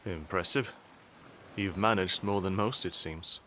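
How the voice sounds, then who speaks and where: Man playing a low-voiced, sardonic elder statesman. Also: pushed and bright, a little hiss or hum. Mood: dry, sardonic. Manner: measured, formal, dry wit. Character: composed, sardonic father and statesman, dryly unbothered. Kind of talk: audio drama acting.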